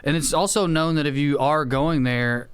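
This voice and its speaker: A man with a fairly high, crisp and resonant voice co-hosts a comedy podcast. He riffs casually, in loose conversational banter. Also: normal speed. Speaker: podcast host.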